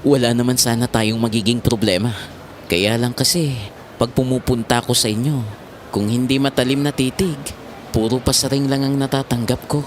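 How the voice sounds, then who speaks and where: A man's voice: mid-range voice, steady tone, moderate pace, slight background noise, lightly acted. A man playing an ardent young suitor in a Filipino audio drama, tender, playful and reassuring. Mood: hurt. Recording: music under the voice.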